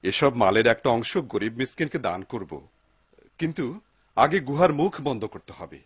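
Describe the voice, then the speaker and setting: A man playing an excitable, pious, poor woodcutter in a dubbed cartoon, exclaiming and talking to himself in wonder. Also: mid-range voice, normal speed, husky, no room sound. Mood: relieved, planning. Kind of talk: Bengali-dubbed cartoon acting. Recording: noisy soundtrack with clicks.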